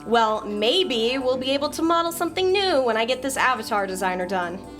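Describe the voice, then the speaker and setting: An impatient young coder who cuts people off with sarcasm, played by a woman. Her voice sits a little high and her manner is blunt throughout. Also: animated, a little fast, fairly bright tone, soft background music. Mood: irritated.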